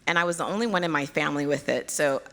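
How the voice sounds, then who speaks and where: A Nigerian American woman speaks into a stage microphone at a conference, with a low, gravelly voice. Her talk is chatty and self-deprecating, and she laughs often with the audience. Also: racing, a bit bright. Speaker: pediatric hematologist, keynote speaker.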